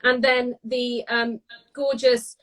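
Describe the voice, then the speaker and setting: A British woman giving a casual live cooking demonstration, chatty and descriptive, often pausing mid-phrase as she explains. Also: on the high side, little pitch movement, fairly bright tone. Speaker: guest cook demonstrating a recipe.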